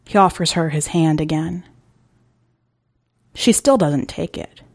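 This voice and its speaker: Slow, measured woman reading fiction. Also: low voice, darkish tone, no room sound, studio quality. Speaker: podcast host reading a short story. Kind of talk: scripted fiction narration.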